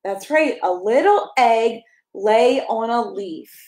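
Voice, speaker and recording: A woman in her thirties reading a picture book aloud to a toddler, expressive and encouraging, coaxing the child along with questions. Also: on the high side, takes it slowly, reverberant. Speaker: pastor reading a children's story. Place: small carpeted room at home, indoors. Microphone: camera a step or two away.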